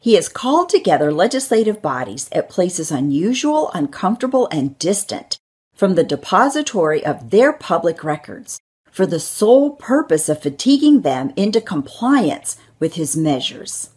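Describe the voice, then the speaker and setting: A woman's voice: lowish voice, very animated, moderate pace, darkish tone, husky. A woman reading a historical document aloud formally as a volunteer. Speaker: volunteer reader.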